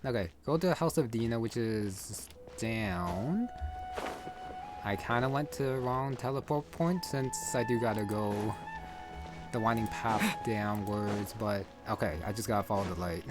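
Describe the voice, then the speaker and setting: A man commentates his gameplay in a casual, rambling way. His voice is somewhat low, and game music plays clearly behind him. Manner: rambling, offhand. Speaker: gaming video creator.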